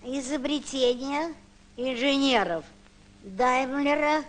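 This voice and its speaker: An actress playing an elderly grandmother in a comic children's film, halting and musing aloud as she puzzles over things. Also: high voice. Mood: musing, puzzled. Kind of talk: comic acting in children's educational newsreel. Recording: noisy old Soviet film soundtrack.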